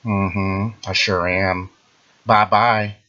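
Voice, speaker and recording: A man playing a smug, boastful internet ranter who claims to read minds, taunting the listener in a mocking sing-song and tagging lines with drawn-out "mm-hmm"s. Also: low voice, level tone, sharp tone, lo-fi sound, exaggerated voice. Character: smug boastful male internet ranter, self-proclaimed mind-reader. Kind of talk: mocking satirical monologue.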